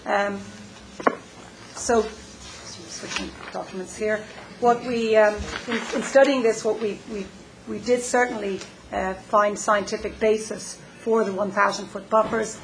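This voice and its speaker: A woman presenting planning work at a public meeting, measured and hesitant with many fillers. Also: medium pitch, fairly even intonation, background noise.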